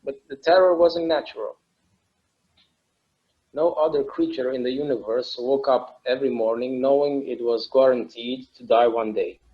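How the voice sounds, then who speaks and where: A man acting a reflective immortal character in a naturalistic table read, speaking in a halting, earnest, conversational way. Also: medium pitch, close to an everyday voice. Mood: wistful, solemn. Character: wistful, reflective immortal man confiding in his partner. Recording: slightly dull, lo-fi sound.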